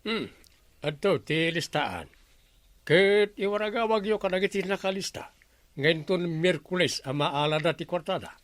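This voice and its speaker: An officious, henpecked village captain in Ilocano Filipino radio comedy. His pitch swings widely in exasperated delivery. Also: lively intonation, moderate pace, fairly bright tone, dry recording.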